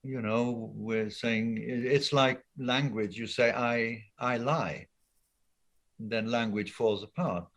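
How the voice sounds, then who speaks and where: An older man from Wales, an art professor talking thoughtfully on a video call with many pauses and ums. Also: mid-range voice, steady tone, a bit bright. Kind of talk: casual interview talk. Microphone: laptop webcam on a video call.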